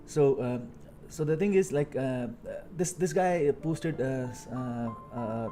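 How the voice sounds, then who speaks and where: A man in his thirties from India with an Indian accent, talking casually on a coding live stream, halting with many fillers and repeated words. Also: medium pitch, dark tone, soft background music. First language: Hindi. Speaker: live-streaming software engineer.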